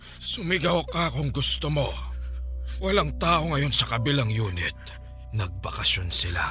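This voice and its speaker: An actor playing a menacing, lecherous middle-aged landlord obsessed with a younger tenant, in an overwrought radio drama style. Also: male voice, mid-range voice, unhurried, bright, forceful tone, soft background music. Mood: menacing, lustful. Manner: leering, threatening, overwrought.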